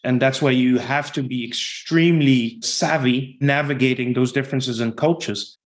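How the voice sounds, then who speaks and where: A man's voice: medium pitch, steady tone, moderate pace. A man from the Netherlands with a Dutch accent, a podcast guest giving long, reflective answers about his sales career. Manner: reflective, talks in long explanatory runs. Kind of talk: podcast interview.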